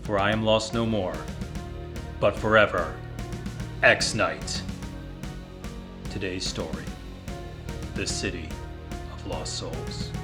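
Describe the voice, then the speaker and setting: A man playing a brooding, vengeful masked vigilante in an audio drama, speaking gravely and with menace. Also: sits low, fairly even intonation, slow pace, long pauses. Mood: brooding, solemn. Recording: music behind the voice.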